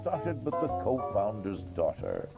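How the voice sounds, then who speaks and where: A man playing a folksy, showy animatronic dog who presents like a theatrical cartoon host, with loud guitar music behind him. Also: lowish voice, huge pitch swings, a little slow, creaky, full character voice. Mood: showy, jovial. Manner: showy, exaggerated swoops.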